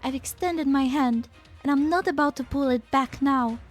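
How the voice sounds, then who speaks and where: A woman voicing a compassionate, idealistic scientist who is gentle yet unyielding, in an earnest amateur fan dub. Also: sits high, normal speed, faint music behind, lightly acted. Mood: impassioned.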